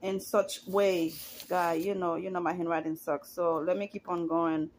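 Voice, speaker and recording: Woman, lowish voice, casual vlog talk, opinionated, chatty. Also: flat delivery, moderate pace, a little mellow, a little hiss or hum. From Haiti. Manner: chatty, opinionated, rises in pitch when indignant. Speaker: YouTuber commenting on reality TV.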